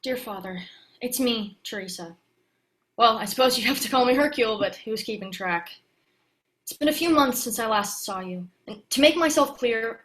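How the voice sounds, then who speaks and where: A woman's voice: fairly high pitch, fairly bright tone, close to an everyday voice. An actress playing a passionate, guarded young woman who secretly lives disguised as a male actor, in a theatrical audio play monologue. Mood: bitter, confiding.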